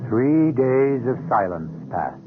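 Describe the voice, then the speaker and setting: A male host delivers dramatic radio narration with measured solemnity. His voice is mid-pitched, with music clearly behind it and a muffled, boxy broadcast sound. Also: big pitch swings. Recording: muffled band-limited radio recording.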